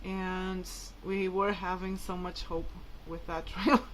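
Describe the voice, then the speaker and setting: A woman's voice: lowish voice, level tone, a little slow, a little hiss or hum, poor audio. A woman whose mother tongue is Portuguese, talking casually and hesitantly to viewers in a rambling, informal vlog. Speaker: vlogger.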